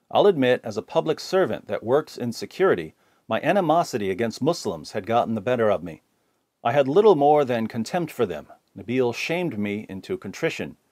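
A man reading viewer comments aloud in a plain, steady voice. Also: lowish voice, no room sound.

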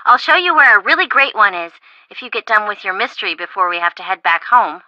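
A woman's voice: medium pitch, quick pace, dry recording, muffled recording. An actress playing a bubbly, chatty, teasing young American woman, a shopping-loving best friend in a video game. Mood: breezy, enthusiastic. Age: young adult. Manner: expressive, teasing, casual.

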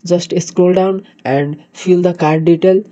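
A man from Nepal with a Nepali accent narrates a screen tutorial haltingly, often repeating words. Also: high-pitched, steady tone, dark tone. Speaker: tutorial host. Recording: dull, muffled lo-fi microphone.

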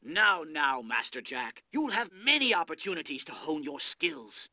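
A man's voice: a little high, very animated, measured pace, pushed and bright, no room sound, clean studio sound, exaggerated voice. A man playing a pompous, avuncular middle-aged knight captain in a video game. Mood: fatherly. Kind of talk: scripted video game acting. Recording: game audio with music underneath.

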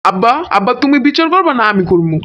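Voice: mid-range voice, big pitch swings, quick pace, natural voice. A performer voicing a whiny, jealous, food-loving child in a comedic cartoon, with a Bangladeshi accent. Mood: aggrieved. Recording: muffled audio with clear noise.

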